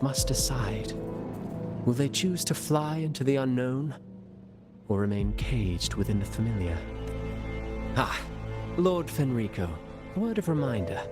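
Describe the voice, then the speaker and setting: Game role: male actor as insinuating, theatrical schemer, mid-pitched, florid courtesy, over prominent music. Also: lightly acted. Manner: florid, mock-deferential, insinuating. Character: theatrical, sly, courteous schemer with a taunting edge. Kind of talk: game voice acting.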